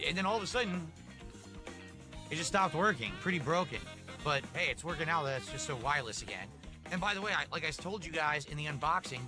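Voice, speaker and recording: A man on a live gameplay stream, chatting casually and swearing as he reacts to the game. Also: a little high.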